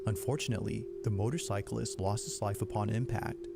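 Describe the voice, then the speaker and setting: A man narrates a scripted story in an even, measured tone. His low voice has a gravelly edge, and music plays beneath it as it comes through a stream playback. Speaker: narrator of a video being reacted to. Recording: played back over a stream with music underneath.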